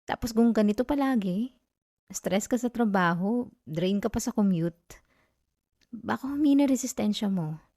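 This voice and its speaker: Soft, mellow Filipino girlfriend, acted by a woman. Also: mid-range voice, animated, normal speed, long pauses, dry recording, clean studio sound. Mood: gently worried. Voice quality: soft, gentle. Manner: tender, coaxing, intimate. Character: affectionate, caring, playfully scolding young girlfriend. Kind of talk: soft intimate ASMR girlfriend roleplay.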